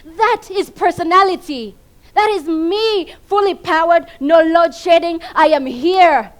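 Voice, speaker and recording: A Ugandan woman performing a theatrical comic monologue, emphatic and dramatic, swinging between hushed and loud delivery. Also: very high-pitched, measured pace. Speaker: competition contestant performing a monologue. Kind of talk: theatrical comedic stage monologue.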